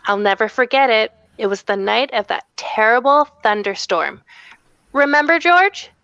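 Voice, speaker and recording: A woman's voice: on the high side, lively intonation, normal speed, a bit bright, clear, resonant tone, no echo. A woman reading the part of a wistful middle-aged mother reminiscing fondly at an amateur table read, on a lo-fi microphone. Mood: dreamy, nostalgic.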